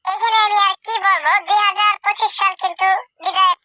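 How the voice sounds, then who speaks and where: A man as a squeaky pitch-shifted childlike voice. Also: fairly even intonation, fairly quick, noisy background. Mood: chirpy demo. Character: childlike squeaky altered voice from a voice changer. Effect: pitch-shifting voice changer. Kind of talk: scripted narration.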